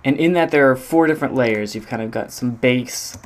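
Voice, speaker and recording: A man's voice: medium pitch, fairly quick, a crowd in the background. A man giving an informal walkthrough of a demo, speaking hesitantly as if thinking aloud. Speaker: game audio designer presenting own project.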